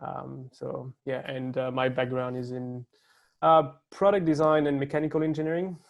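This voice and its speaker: A man's voice: medium pitch, steady tone, normal speed, gravelly. A man with a French accent, a co-founder guest speaking hesitantly with many fillers. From France. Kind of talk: casual interview talk.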